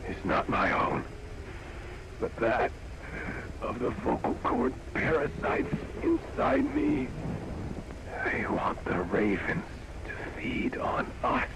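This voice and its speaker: An actor playing an infected laboratory staffer in a game, desperate yet grimly resolute, halting and strained as he records a confession. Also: on the high side, gravelly, noisy background, full character voice.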